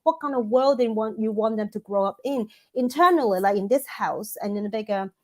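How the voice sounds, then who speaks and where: A woman's voice: mid-range voice, fairly quick, a little mellow. A woman, a coach giving thoughtful interview answers that she builds up in lists, with frequent restarts. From Hong Kong. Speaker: guest, women's coach and author.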